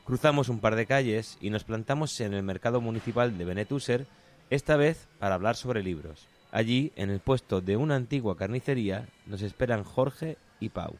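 Low-pitched man from Spain hosting radio. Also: normal speed. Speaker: radio co-host. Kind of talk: documentary radio interviewing and narration.

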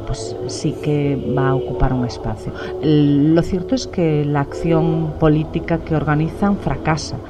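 Writer, middle-aged woman, low voice, calm and reflective, music playing softly underneath. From Galicia. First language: Spanish. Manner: measured, reflective, many "eh" pauses. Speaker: novelist, interviewee. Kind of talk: thoughtful interview answers.

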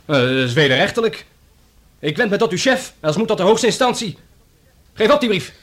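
A defiant suspect in a Dutch radio drama. His voice is fairly high and he talks very quickly in Netherlands Dutch, mocking and indignant. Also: very animated, a bit bright, heavily acted. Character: cheeky, defiant male smuggling suspect. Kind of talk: radio play acting.

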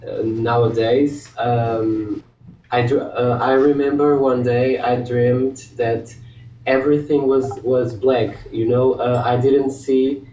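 A man's voice: mid-range voice, level tone, slow pace, warm tone. A man from Portugal whose first language is Portuguese, talking hesitantly and searching for English words as a guest in a casual video-call chat. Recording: distant roomy lo-fi video call.

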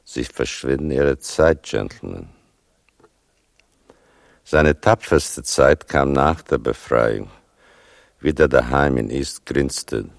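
An actor playing a grave older storyteller, giving a measured literary narration in a radio play. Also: male voice, rumbling low, gravelly, no room sound, clean studio sound, heavily acted.

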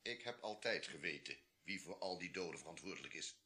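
Een acteur die in een hoorspel een beleefde, gezaghebbende legerkolonel speelt die beheerst dingen uitlegt. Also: male voice, low voice, expressive, fairly quick. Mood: grave. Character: smooth-talking, authoritative army colonel from the ministry. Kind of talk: radio play acting.

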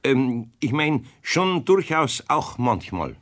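A man's voice: medium pitch, sing-song, slow pace. An older man with a Bavarian accent playing a good-natured, grumbling master carpenter in a children's radio play, with hesitant, homely muttering. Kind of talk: 1970s German children's radio play acting.

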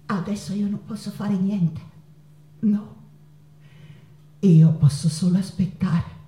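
A woman playing a passionate, wounded Mexican painter in a theatrical monologue, with halting, drawn-out phrases. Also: mid-range voice, fairly even intonation, dark tone, lightly acted. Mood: hurt, resigned. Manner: dramatic, halting delivery with drawn-out phrases and repetitions. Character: passionate, wounded Mexican woman painter, wife remembering her unfaithful husband. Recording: roomy echo with guitar music. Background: acoustic guitar music.

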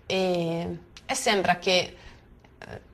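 A woman gives an unscripted account in a slightly low voice. She is hesitant, pausing often to search for words and restart her sentences. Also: steady tone, creaky, a bit bright, a little hiss or hum. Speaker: witness giving testimony. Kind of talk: spontaneous spoken testimony.

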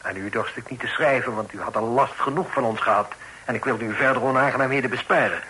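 A proud, shrewd father in a period radio play. His voice has a husky grain and a hard, bright edge. He speaks formally, with measured authority. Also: mid-range voice, rough recording. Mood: measured, confiding. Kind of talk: period radio drama acting. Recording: old radio play recording.

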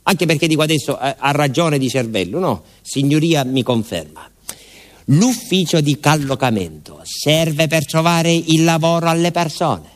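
A man's voice: a little high, animated, normal speed, lightly acted. An actor playing a garrulous village postman who recounts his youth in a southern Italian accent, with folksy asides, as a theatrical radio monologue. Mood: puzzled, ironic. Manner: colloquial, folksy asides and repeated interjections.

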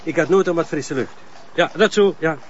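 Guy playing flemish detective, polite n hesitant, fairly high voice, muffled. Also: moderate pace, slight background noise, lightly acted. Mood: businesslike. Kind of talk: naturalistic TV crime drama dialogue.

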